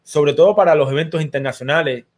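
A chatty man in his thirties with a Cuban accent hosts a casual baseball talk show. His voice sits a touch high, and he talks at a loose, friendly clip. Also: quick pace, a little mellow. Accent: Cuban Spanish. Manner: chatty, sends greetings to viewers. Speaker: host.

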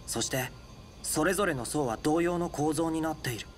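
Earnest, higher-pitched boy researcher played by a man. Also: normal speed, slight background noise, natural voice. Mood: pensive. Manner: polite, earnest. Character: earnest, polite, thoughtful teenage boy researcher. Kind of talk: anime-style game voice acting. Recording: music behind.

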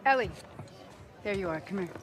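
A woman's voice: mid-range voice, animated, a little fast, music in the background, close to an everyday voice. An actress playing a firm, motherly middle-aged woman who leads a community, acted naturalistically for a video game. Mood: firm, coaxing.

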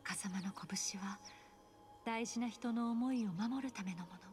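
A woman voicing a gentle, caring mother spirit in a game cutscene, soft and half-whispered. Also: fairly high pitch, unhurried, natural voice. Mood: tender. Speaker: game character. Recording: clear music behind.

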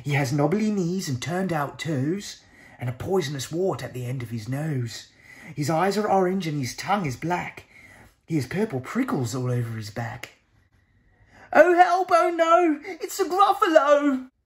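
A man in his thirties narrating a children's storybook in an expressive, measured way. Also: a little high. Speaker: storybook reader. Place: small plain room indoors.

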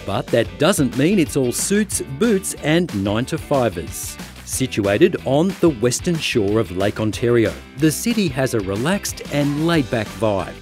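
A man's voice: mid-range voice, big pitch swings, measured pace, over music. A man, the host, giving upbeat, playful scripted narration for a travel show. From Australia.

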